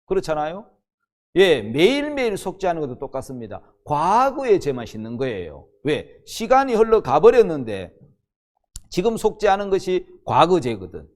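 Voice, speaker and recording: A man's voice: a little high, huge pitch swings, moderate pace. A man in his sixties, a pastor teaching the Bible in a sermon, emphatic and often posing questions that he answers himself. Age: 60s.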